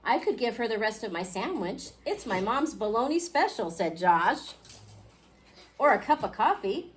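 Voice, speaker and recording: A woman reading a picture book aloud to a class, expressively, pausing to question her young listeners. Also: a little high, big pitch swings. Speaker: children's storybook reader. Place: indoor school classroom. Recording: clearly noisy classroom recording.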